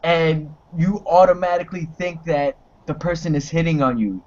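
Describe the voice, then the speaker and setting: A young man speaking as a guest in a casual video-call chat, measured, candid and profane. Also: fairly high pitch, a little mellow, lo-fi sound. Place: small room indoors. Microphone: headset on a video call.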